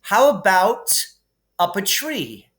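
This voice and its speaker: A man's voice: high voice, very animated, takes it slowly, a bit bright, pitched above the performer's own voice, exaggerated voice. A man voicing a sneering, mocking schoolboy bully who goads others, in a storybook reading. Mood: nasty teasing. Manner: mocking, goading.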